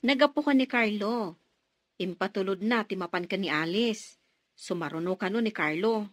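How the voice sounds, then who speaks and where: An actress with a Filipino accent playing a gossipy, teasing younger sister in a radio drama. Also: female voice, mid-range voice, moderate pace, no echo, lightly acted.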